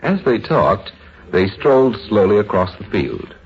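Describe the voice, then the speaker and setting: Male American narrator, lowish lively voice, 1950s mystery radio storytelling, muffled vintage sound. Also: slightly rough. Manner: suspenseful, dramatic, measured storytelling. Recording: dull vintage radio, faint music behind.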